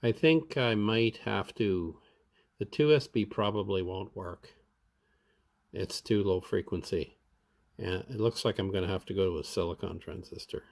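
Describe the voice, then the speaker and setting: A man giving unscripted, step-by-step commentary as a hobbyist repairer, halting as he thinks aloud. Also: low voice, vocal fry, darkish tone.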